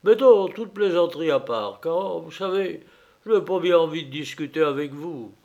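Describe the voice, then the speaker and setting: A man voicing a rowdy drunk masked man who bosses and mocks everyone in a loud, jeering way. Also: a little high, expressive, normal speed, creaky, lo-fi sound, pitched above the performer's own voice.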